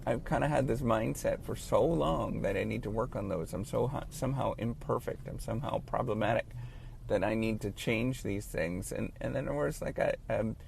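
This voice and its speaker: A man in his late thirties who stutters, giving halting, earnest encouragement in a casual spoken monologue as a professor. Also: medium pitch, vocal fry, darkish tone, a little hiss or hum. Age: late 30s.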